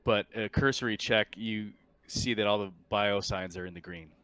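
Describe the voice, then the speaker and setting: A man narrating and refereeing a tabletop roleplaying game as game master, in a halting, deliberate way. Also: lowish voice, a bit bright, smooth.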